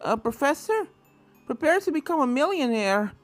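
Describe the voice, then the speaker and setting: A reader voicing an excitable young filly ghost hunter, a cartoon pony in a fan fiction reading. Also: high voice, moderate pace, pitched above the performer's own voice. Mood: amazed. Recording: game music behind the voice.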